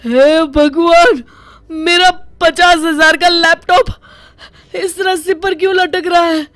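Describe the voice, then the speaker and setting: Woman voicing an exasperated, slow-talking young husband. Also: a little high, a bit bright, dry recording. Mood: horrified, wailing. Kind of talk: animated moral story dialogue.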